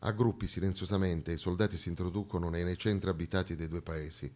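A man performing a dramatic theatrical monologue, narrating historical events in a deliberate, declamatory way. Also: sits low, fairly even intonation, a little mellow. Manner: declamatory, deliberate, storytelling delivery. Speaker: stage actor and narrator.

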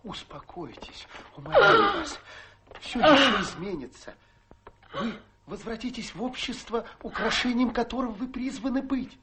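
An actor playing a gullible, gallant gentleman back from India in a radio play, blustering, agitated and chivalrous. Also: extremely high pitch, sing-song, normal speed, heavy background noise. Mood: anxious, soothing. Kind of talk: theatrical radio play acting.

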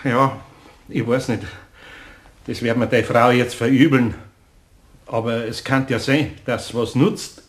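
An older Bavarian master craftsman, acted by a man in a radio drama. He speaks gently and somewhat hesitantly, kindly and plain, in a mid-range voice. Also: medium pitch, steady tone, measured pace, long pauses, natural voice. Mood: mild, apologetic. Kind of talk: German radio play acting.

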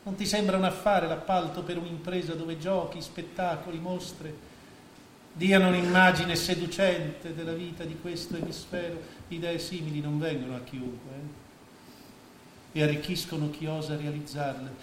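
A man's voice: fairly high pitch, steady tone, normal speed, a bit bright, lightly acted. An actor playing a scheming middle-aged stepfather and financier, sarcastic and grandiose, in a theatrical oratorical delivery. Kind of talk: staged radio drama acting in Italian. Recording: echoey stage recording with faint noise.

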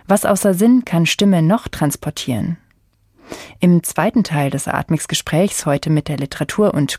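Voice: medium pitch, darkish tone, dry recording, studio quality. An announcer reading a scripted introduction to a radio programme.

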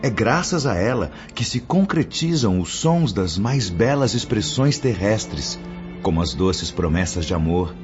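An actor playing a solemn male spirit teacher in a radio drama, with a Brazilian accent and a reverent, measured, declamatory delivery. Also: low voice. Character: Brother Clemente, solemn male spirit teacher instructing pupils. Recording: dull lo-fi audio under music.

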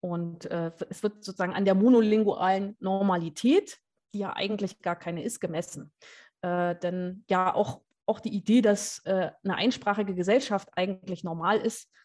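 Woman researcher, podcast guest, mid pitch, explains patiently with frequent pauses. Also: steady tone, darkish tone. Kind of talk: casual podcast chat.

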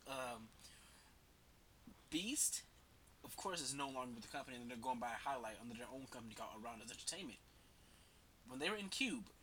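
A man's voice: mid-range voice, pushed and bright, slight background noise. A man with a head cold, talking casually and rambling as a podcast host, slangy and swearing freely. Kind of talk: casual solo commentary.

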